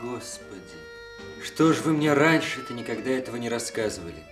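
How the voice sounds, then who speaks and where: An actor playing an earnest, respectful young officer son in a Soviet radio drama. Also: male voice, medium pitch, expressive, fairly quick, over music, close to an everyday voice. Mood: quiet, earnest.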